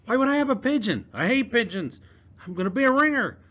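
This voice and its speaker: A man's voice: very high-pitched, sing-song, quick pace, pushed and bright, pitched above the performer's own voice, full character voice. A man doing a panicky young boy. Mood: nervous. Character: jumpy, agitated young boy. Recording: dull lo-fi recording.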